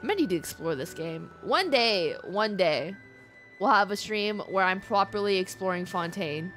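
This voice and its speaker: A woman's voice: mid-range voice, animated, moderate pace, fairly bright tone, faint music behind. A woman game streamer giving casual, reactive live commentary, informal and repeating phrases for emphasis.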